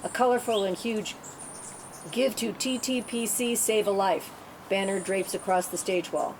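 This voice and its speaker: A woman's voice: medium pitch, fairly even intonation, unhurried. A woman narrator reading screenplay stage directions as scripted narration at a table read. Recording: clear background noise.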